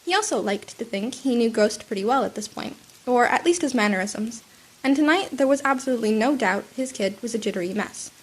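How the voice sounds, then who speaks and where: A woman's voice: a little high, expressive, fairly quick, husky. A woman with a cold, slightly sniffly, reading a fan fiction story aloud at home with small restarts. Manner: soft, with small restarts and fillers. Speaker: podfic reader.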